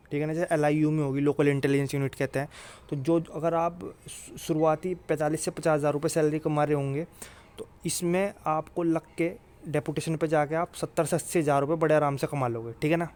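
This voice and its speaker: Higher-voiced man explaining informally. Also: darkish tone. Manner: colloquial, repetitive, peppered with checking tag phrases. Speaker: exam and career advice presenter. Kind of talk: informal explanatory career talk.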